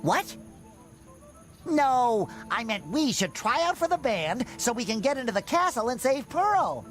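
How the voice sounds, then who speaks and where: A man's voice: very high voice, sing-song, a little slow, fairly bright tone, faint music behind, full character voice. A man voicing an eager, naive cartoon sea sponge hero in a video game, earnest and childlike. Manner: chipper, earnest, polite. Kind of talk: animated video game voice acting.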